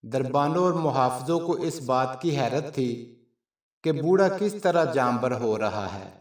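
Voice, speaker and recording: A man narrating a scripted story in a measured, formal storytelling manner. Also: medium pitch, expressive. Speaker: channel narrator. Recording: roomy echo, distant from mic.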